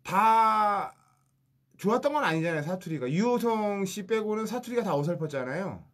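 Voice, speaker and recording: A man's voice: high-pitched, normal speed. A man hosting a radio show, chatting casually and teasing his guest with questions. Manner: lively, joking, questions the guest.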